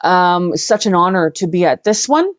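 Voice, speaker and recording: A woman's voice: lowish voice, expressive, normal speed, no room sound, dull audio. A woman chatting casually as an interview guest, effusive and repeating words for emphasis. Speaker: interview guest, singer-songwriter and actress.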